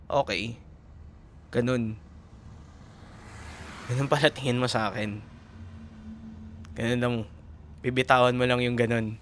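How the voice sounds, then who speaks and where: A man's voice: medium pitch, bright, forceful tone. A man playing a young Filipino boyfriend, tender and proud, in an intimate audio roleplay, with a Filipino accent. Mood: wounded, bitter. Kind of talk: ASMR boyfriend roleplay drama.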